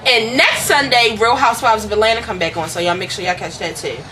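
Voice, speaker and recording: A woman's voice: a little high, fast, bright, forceful tone, slight background noise, rough recording. A woman hosting a casual celebrity gossip vlog, blunt, profane and sassy in how she talks.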